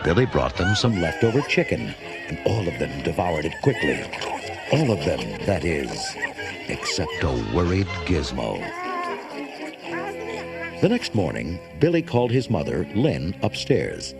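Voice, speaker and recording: A man narrates a children's story with dramatic flair and a hugely varied, fairly low voice. Loud music plays behind him on a cassette transfer. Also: wildly swooping pitch, measured pace.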